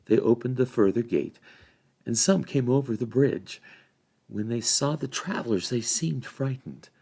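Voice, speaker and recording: A man reading a fantasy storybook aloud as an expressive narrator. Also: mid-range voice, a little slow, breathy edge. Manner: acts out dialogue with changed voices. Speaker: volunteer story reader.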